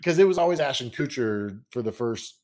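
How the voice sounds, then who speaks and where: A man co-hosting a casual podcast chat, rambling and animated, often jumping up into high put-on quotes. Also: a little fast, a crowd in the background. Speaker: podcast co-host.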